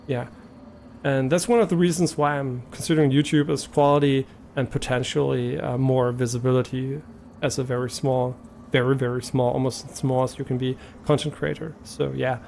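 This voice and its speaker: A man's voice: medium pitch, vocal fry, darkish tone, a little hiss or hum. A young man with a German accent, talking casually on a live stream, hesitant and rambling with many fillers and restarts.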